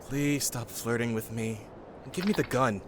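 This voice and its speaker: A guarded young man in a sci-fi audio drama, voiced by a male actor, stern and easily exasperated. He talks tersely at a middling pitch, with clear ambient noise behind him. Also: moderate pace, close to an everyday voice.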